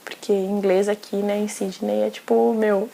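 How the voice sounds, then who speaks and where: A young woman from Brazil chatting casually in a vlog, slangy and talkative, often tagging her sentences with quick questions. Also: mid-range voice, level tone, gravelly, mellow, a little hiss or hum, rough recording. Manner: chatty, slangy, frequent "sabe?" tags. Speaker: vlogger.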